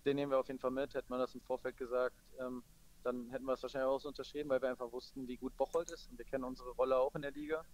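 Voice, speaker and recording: A man speaking as a football coach in a post-match interview, matter-of-fact and measured. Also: medium pitch, little pitch movement, darkish tone.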